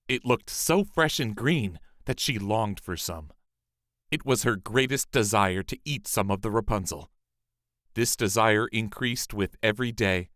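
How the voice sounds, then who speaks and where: A man reading a fairy tale as a storyteller, in a measured, expressive scripted narration. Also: fairly low pitch, no room sound, clean studio sound.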